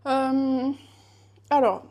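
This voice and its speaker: A woman's voice: sits high, fairly even intonation, drawn-out words, dark tone. A woman raised in France, chatting casually with live viewers while she bakes, greeting them by name and laughing often.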